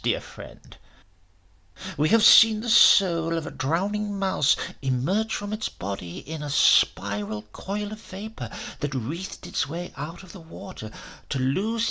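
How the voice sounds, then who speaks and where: A man voicing a grim, obsessed professor of crank science in a horror audiobook, grandiose, intense and deliberate. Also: fairly high pitch, creaky, pushed and bright, exaggerated voice.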